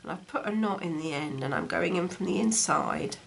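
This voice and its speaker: A woman with a croaky voice from a cold, chatting casually as she demonstrates stitching at home. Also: on the low side, animated, a little fast. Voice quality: slightly gravelly. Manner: chatty, talks while stitching. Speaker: textile crafts teacher. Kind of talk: casual craft tutorial. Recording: faint appliance hum behind. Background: dishwasher running.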